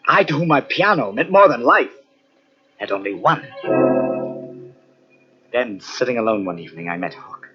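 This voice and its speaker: A man's voice: fairly low pitch, bright, forceful tone, slightly rough, heavily acted. An actor playing an obsessed, half-mad pianist who lost his hand, intense and theatrical in a vintage radio mystery. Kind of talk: vintage radio mystery acting with first-person narration. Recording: muffled vintage radio drama on cassette.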